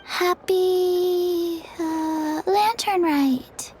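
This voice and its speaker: A woman voicing a small, forgetful undead girl in a video game, with a soft childlike voice and a halting, deadpan delivery. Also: very high-pitched, full character voice. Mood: sleepy, flat. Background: music.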